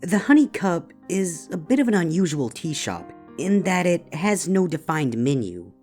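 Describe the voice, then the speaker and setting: An elegant female unicorn barista, acted by a woman in a storybook reading, full of teasing cheer. The voice is on the low side but very animated, with polished, chatty patter. Soft music plays behind it. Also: normal speed. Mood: welcoming. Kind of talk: scripted story dialogue.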